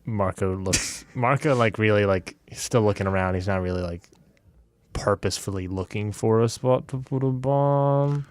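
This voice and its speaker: A man's voice: fairly low pitch, moderate pace, smooth, no echo. A man playing a tabletop game, chatting casually and describing his character in a hesitant, rambling way. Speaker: player in a tabletop game podcast. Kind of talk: casual improvised game chat.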